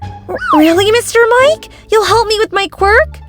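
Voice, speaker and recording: A woman playing an eager, wide-eyed young girl in an animated comic dub, full of questions. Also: extremely high pitch, normal speed, soft background music. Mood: eager, amazed.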